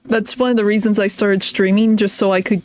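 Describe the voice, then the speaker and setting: A woman's voice: mid-range voice, level tone, a little fast, darkish tone. A woman streamer, a native English speaker, chatting shyly while playing a game; she gets tongue-tied and giggles often. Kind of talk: casual game commentary.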